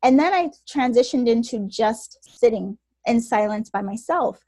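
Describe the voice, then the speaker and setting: A woman co-hosting a podcast in casual, animated chat, with a naturally soft voice. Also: on the high side, normal speed, no echo. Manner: chatty, animated, laughs often. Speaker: podcast co-host.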